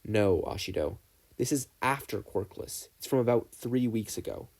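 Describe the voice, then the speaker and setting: A man's voice: fairly low pitch, animated, moderate pace, darkish tone. A man voicing a weary, blunt male teacher with a grave, drawling manner tinged with sadness. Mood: somber.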